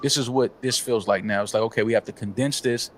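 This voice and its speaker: A man co-hosting a talk show, giving opinions in a measured, filler-heavy way. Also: fairly low pitch, slight background noise. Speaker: podcast co-host. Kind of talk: casual chat.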